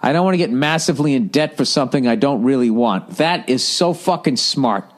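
A man's voice: lively intonation, fairly quick. A middle-aged man hosting a podcast, bluntly giving advice with lots of swearing and mocking put-on voices. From United States. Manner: profane, blunt, mocking voices in a higher pitch. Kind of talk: casual advice rant reading listener letters.

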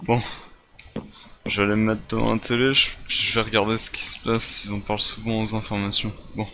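A man's voice: on the low side, level tone, brisk, sharp tone, slight background noise. A man improvising a casual gaming roleplay, thinking aloud in colloquial, exclamatory bursts. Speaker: gaming video creator in roleplay.

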